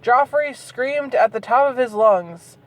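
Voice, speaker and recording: A woman's voice: on the high side, expressive, unhurried, low-quality recording. A woman reading fan fiction aloud in a dramatic way, breaking into incredulous, sweary casual asides. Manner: incredulous, sweary commentary between read passages. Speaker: host reading and mocking bad fan fiction. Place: inside a moving car. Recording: car interior, clear road noise. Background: clear vehicle noise.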